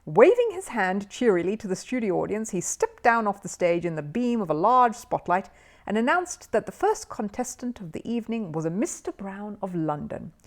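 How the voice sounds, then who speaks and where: A woman reading a children's story aloud as an expressive audiobook narrator. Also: medium pitch.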